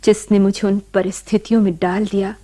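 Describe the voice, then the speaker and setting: An actress playing a gentle, bashful young village-born wife in a radio drama, with soft, emotive delivery. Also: female voice, mid-range voice, flat delivery, brisk, lightly acted. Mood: sorrowful, reflective. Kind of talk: Hindi radio drama acting.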